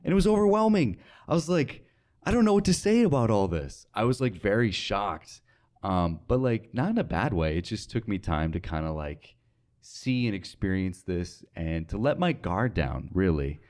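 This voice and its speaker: A young American man with a very low voice speaks in a casual interview. He is earnest and reflective, leaning on colloquial filler as he thinks things through. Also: very animated, moderate pace, a little mellow. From North Dakota, United States. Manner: informal, reflective, earnest, with frequent "like". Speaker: interview guest, radio sales associate.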